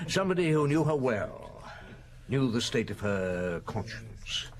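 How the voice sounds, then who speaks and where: An actor playing a shrewd, middle-aged chief inspector in an audio drama, affable and probing, with musing hesitations and chuckles. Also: male voice, mid-range voice, big pitch swings, measured pace. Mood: genial, probing.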